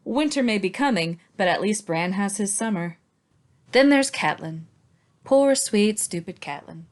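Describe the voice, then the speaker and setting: A woman's voice: mid-range voice, animated, normal speed. A woman hosting a book discussion in a sardonic, casually sweary way. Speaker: podcast host.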